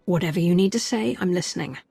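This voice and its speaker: Husky, gravelly-edged female commander. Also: fairly low pitch, fast talker, warm tone, no room sound, soft background music. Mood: composed. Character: female military commander, calm, caring, resolute leader. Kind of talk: video game cutscene acting.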